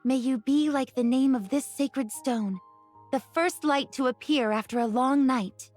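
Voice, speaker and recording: An earnest woman of a grassland tribe, in a romance game, speaking formally and with measure. Her voice is high, with soft music underneath. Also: a little slow. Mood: solemn.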